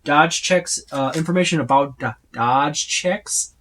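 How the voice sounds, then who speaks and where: A tabletop game reviewer giving a casual, unscripted overview, speaking haltingly with repeated words and trailing lists. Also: mid-range voice, a bit bright. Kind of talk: casual unscripted book flip-through overview.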